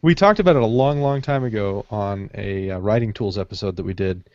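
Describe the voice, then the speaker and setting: A man's voice: on the low side, brisk, darkish tone, no echo, highs cut off. A man chatting casually as a podcast co-host. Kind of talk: casual chat.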